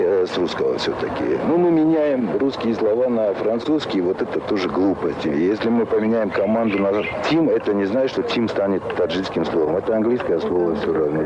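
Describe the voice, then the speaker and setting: A man's voice: fairly low pitch, lo-fi sound, noisy background. A middle-aged man whose first language is Tajik, a philologist and translator answering interview questions in a hesitant, thoughtful way with frequent fillers. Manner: hesitant, many fillers, lively emphasis.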